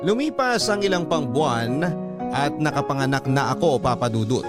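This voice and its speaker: A man reads as a heartbroken letter sender in a scripted radio drama. The mid-pitched voice tells the story earnestly and sentimentally, with music clearly playing underneath. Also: expressive, moderate pace, lightly acted. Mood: wistful sorrow. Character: sorrowful letter sender recounting painful lost love. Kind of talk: scripted first-person narration.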